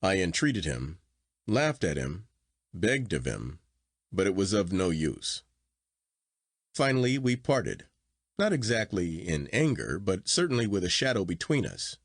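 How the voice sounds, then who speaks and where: Male audiobook narrator for classic fiction. Lowish register, lively swooping intonation, dramatic storytelling, dry close sound. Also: a little slow, no echo. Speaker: audiobook reader.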